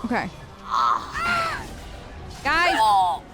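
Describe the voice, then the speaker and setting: A woman's voice: a little high, big pitch swings, very slow, loud music behind the voice. A woman giving casual, playful let's play commentary, expressively talking to herself and to the game's characters. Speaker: gaming video host. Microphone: close dry voice-over microphone.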